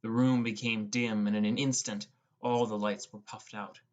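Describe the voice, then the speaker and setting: This is an audiobook narrator telling a fantasy adventure in the first person. The voice sits at a medium pitch and reads from a script. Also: flat delivery, normal speed, darkish tone, lo-fi sound.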